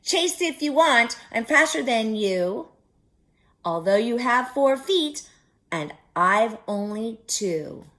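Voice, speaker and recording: Storybook gingerbread girl, played by a woman: high, boastful, taunting, sing-song rhymes drawn out slowly. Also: lively intonation, fairly bright tone. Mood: gleeful taunting. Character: cheeky, boastful little gingerbread cookie girl, taunting her chasers.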